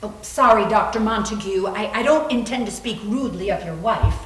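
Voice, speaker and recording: A woman playing a flippant, nervy young woman in a readers theater, with a wry, expressive delivery. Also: mid-range voice, fairly quick, recorded from a distance, close to an everyday voice. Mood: apologetic. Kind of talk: readers theater, lines with narration. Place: reverberant room at a library reading. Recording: echoey room.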